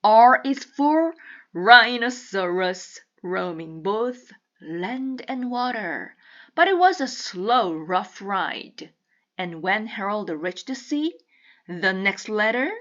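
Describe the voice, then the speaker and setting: A woman reading a children's picture book aloud with deliberate, teacherly enunciation. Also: medium pitch, a bit bright, lo-fi sound. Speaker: storybook reader.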